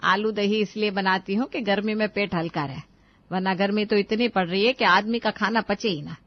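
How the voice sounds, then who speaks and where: A woman's voice: mid-range voice, moderate pace, no echo, dull audio, lightly acted. A woman playing a caring, thrifty middle-aged mother in a cartoon moral story, reasoning and scolding in a homely way.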